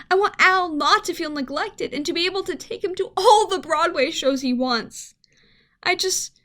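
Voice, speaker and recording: A woman acting a worried scientist and mother in an amateur radio play, speaking in an emotional, halting, conversational way. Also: very high-pitched, sharp tone.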